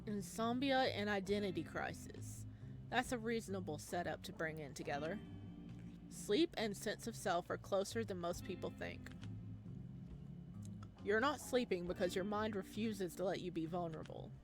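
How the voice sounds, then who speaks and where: A woman voicing a calm, probing male therapist in a visual novel, measured and clinical, with a quietly ominous temper. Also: mid-range voice, close to an everyday voice. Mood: calm, insistent. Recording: music playing behind the voice.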